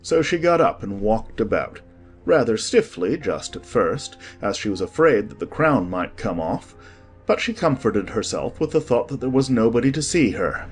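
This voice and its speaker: A man's voice: medium pitch, very animated, normal speed, soft background music. A man reading scripted storybook narration expressively.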